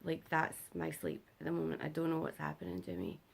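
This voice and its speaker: A young British woman giving a casual vlog update, her voice rough and worn because she is unwell and has not slept, rambling with lots of fillers. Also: very animated, a little fast. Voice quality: rough gravelly voice. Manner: rambling, filler-heavy, low-energy. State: unwell and sleep-deprived. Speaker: vlogger.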